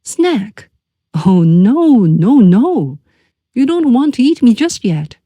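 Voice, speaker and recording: A woman's voice: on the high side, big pitch swings, takes it slowly, warm tone, no room sound, voice pushed up, full character voice. A woman doing a small, sly trickster man. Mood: coaxing. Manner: playful, coaxing.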